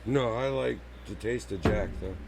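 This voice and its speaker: A man's voice: lowish voice, moderate pace, vocal fry, background noise. A man in his forties as a podcast co-host, swearing often and sounding exasperated in casual banter. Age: 40s.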